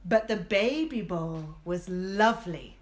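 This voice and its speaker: A woman telling a rhyming children's story in a theatrical, expressive way. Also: fairly low pitch, takes it slowly. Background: guitar music.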